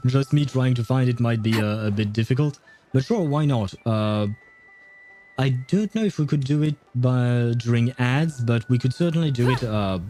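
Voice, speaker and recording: A man's voice: medium pitch, mellow, slight background noise. A man livestreaming a game, chatting casually with viewers in a rambling, hesitant way. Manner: rambling, hesitant, chuckles often. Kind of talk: casual chat with viewers while gaming. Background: faint game music.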